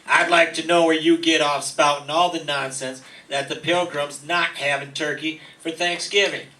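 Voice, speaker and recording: A man playing an angry, indignant father and neighbor in a gruff, confrontational way in a radio comedy play. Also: on the high side, steady tone, normal speed, sharp tone. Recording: echoey room, distant mic.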